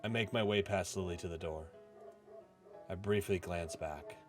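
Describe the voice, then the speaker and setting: Man reading as a subdued, low-voiced brooding office worker. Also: moderate pace, natural voice. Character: reserved, brooding young office worker narrating his story. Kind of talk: storybook-like visual novel narration. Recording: music playing behind the voice.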